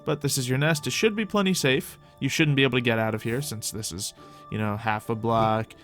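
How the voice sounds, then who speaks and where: A man's voice: mid-range voice, a little fast, fairly bright tone, soft background music. A man giving casual, improvised roleplay game commentary, chatting and thinking aloud as host of a gaming video.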